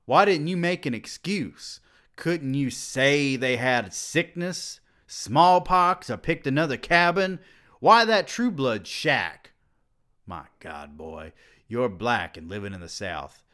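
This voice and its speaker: A man voicing an older male college president who mocks and then shouts in outrage. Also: deep voice, unhurried, voice pushed down. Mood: furious.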